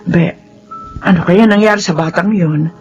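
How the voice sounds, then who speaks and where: A woman's voice: on the low side, moderate pace, a little mellow, lightly acted. An actress playing a worried, scolding middle-aged mother in a radio drama, emphatic and blunt, in a slightly dull recording with music. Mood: advising, firm. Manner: emphatic, exclaiming, admonishing.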